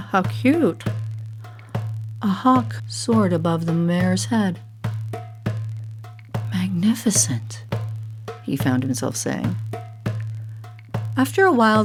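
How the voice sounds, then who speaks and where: A woman tells a children's story with theatrical, carefully shaped phrasing. Her pitch moves freely in a lively way, and she takes her time over each line. Music plays faintly behind her. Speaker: storyteller and voice artist. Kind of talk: expressive scripted children's story narration.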